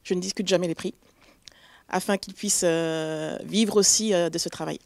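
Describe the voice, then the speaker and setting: Woman from togo, interview guest, halting speech full of fillers, dry close sound. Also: lowish voice, fairly even intonation, creaky, no echo. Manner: hesitant, many fillers, warm and proud. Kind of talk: interview answers.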